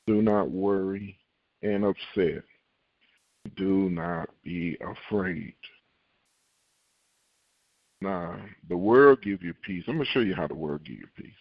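A very deep-voiced man preaches a sermon, reading scripture and slowing down to repeat his key lines. He acts out fretful believers in a higher, louder voice. The recording is dry and a little dull. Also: no echo. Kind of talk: podcast sermon.

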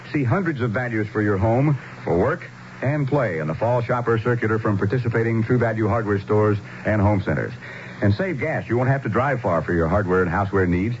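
A man reading a scripted radio advertisement as a commercial announcer. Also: lowish voice. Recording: muffled, noisy radio broadcast.

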